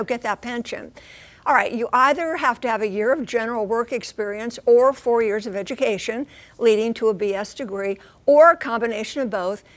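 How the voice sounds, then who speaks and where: Mature female news correspondent, American, higher-pitched, animated and folksy explainer. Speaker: transportation correspondent. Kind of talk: conversational live TV news explainer.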